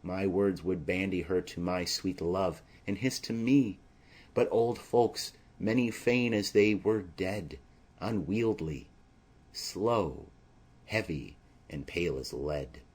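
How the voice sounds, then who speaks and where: Classic play audiobook: lovesick, impatient young girl, poetic monologue style, lowish pitch, measured pace man performs. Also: a little mellow, breathy edge, full character voice. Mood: impatient, yearning. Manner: yearning, restless, poetic.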